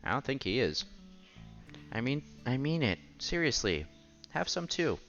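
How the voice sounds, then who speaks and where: A man reading a visual novel aloud as a streamer, with playful, casual asides and quiet game music behind him. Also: mid-range voice, sing-song, a little slow. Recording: slightly dull, faint game music behind.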